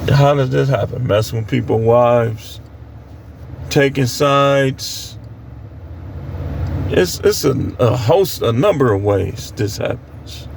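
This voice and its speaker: A man's voice: medium pitch, slow, creaky, pauses often, darkish tone, a little hiss or hum. An American man preaching informally on scripture in a plain-spoken, admonishing way, repeating phrases for emphasis. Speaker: street preacher.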